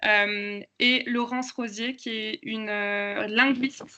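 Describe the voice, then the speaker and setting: Woman presenting in a flat, hesitant voice. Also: a little high, slow pace, lo-fi sound, slight background noise. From France. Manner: hesitant, many fillers and restarts. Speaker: health promotion presenter. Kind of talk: webinar presentation.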